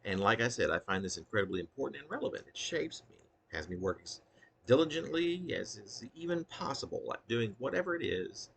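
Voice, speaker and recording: A man with a gravelly, husky voice reading his own poem aloud in earnest, deliberate phrases, with casual asides. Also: low voice, fairly bright tone, slight background noise. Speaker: poet reading own work.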